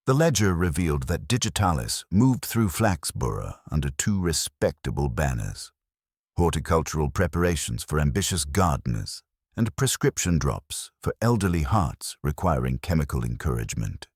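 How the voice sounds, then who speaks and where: A man's voice: extremely low pitch, very animated, normal speed, slightly rough, no room sound. A man narrating a scripted mystery story in a formal, dry, wry manner.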